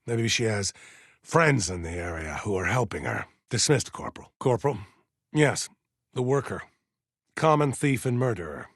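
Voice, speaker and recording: A stern, God-fearing militia colonel for a video game. His husky voice rises and falls expressively as he hands down solemn, measured orders. The recording is dry and close, keeping every clipped command stark. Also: fairly low pitch, lively intonation, no room sound. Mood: solemn, righteous. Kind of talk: dramatic video game voice acting.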